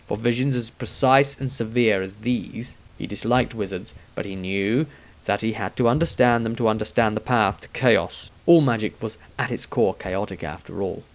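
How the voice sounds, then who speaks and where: A man reading his own fantasy story aloud as a scripted, dramatic narration. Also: fairly low pitch.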